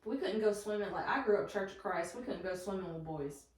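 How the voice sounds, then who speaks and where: A woman's voice: medium pitch, a little fast. A woman in her early thirties co-hosting a podcast, talking frankly in a casual chat. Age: 30s. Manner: frank, candid talk. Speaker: podcast co-host. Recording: very echoey room, mic at a distance.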